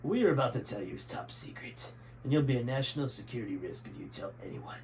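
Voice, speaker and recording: A man's voice: medium pitch, very animated, fast talker, darkish tone, close to an everyday voice. A man reads as a scowling male schemer from an adventure storybook. He sounds strained and short-tempered, with menace in his words, over faint background noise. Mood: menacing.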